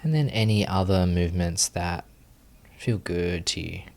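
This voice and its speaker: A man leading a guided meditation as a teacher, giving gentle instructions with long pauses. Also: low voice, vocal fry.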